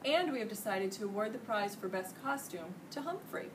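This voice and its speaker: A no-nonsense woman teacher from a children's storybook voiced by a woman reader. Her delivery is firm and clipped. The recording is distant, with clear noise. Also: medium pitch, expressive, normal speed, close to an everyday voice. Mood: formal announcing. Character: stern, no-nonsense woman schoolteacher. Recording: noisy room, distant microphone.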